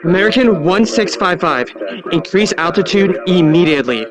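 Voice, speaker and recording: A man's voice: high-pitched, lively intonation, moderate pace, a crowd in the background, low-quality recording. A man playing an urgent air traffic controller, insistent and procedural under stress, in an audio drama. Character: urgent male air traffic controller warning a doomed plane.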